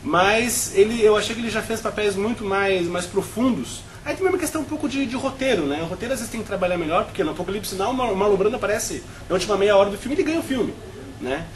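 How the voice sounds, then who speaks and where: A man's voice: sits high, lively intonation, quick pace, slight background noise. A Brazilian man on a film review panel, talking at length with frequent restarts and tag questions.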